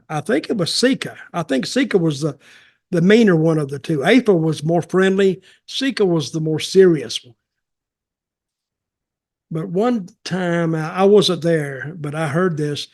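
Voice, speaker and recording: A man's voice: fairly high pitch, darkish tone. An older man telling anecdotes casually, in a rambling, folksy and wry way. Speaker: podcast host, former wrestling performer.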